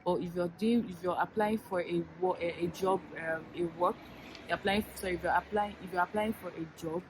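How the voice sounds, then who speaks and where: Chatty Nigerian woman with a low, flat voice. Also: a little fast, noisy background. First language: Yoruba. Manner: chatty, earnest, many fillers and restarts. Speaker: YouTuber giving application advice. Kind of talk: casual advice vlog.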